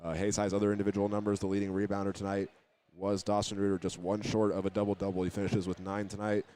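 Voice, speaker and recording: A man's voice: on the low side, monotone, fast, dark tone. A man giving postgame basketball radio commentary, reading out statistics with some hesitation. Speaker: sports play-by-play announcer.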